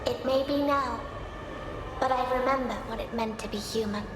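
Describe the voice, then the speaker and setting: Echoing, high-voiced ghostly young sister. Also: female voice, fairly even intonation, moderate pace, background noise. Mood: earnest. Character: gentle young ghostly sister, earnest and hopeful. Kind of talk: dramatic fantasy video game acting. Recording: echoing voice under loud game music.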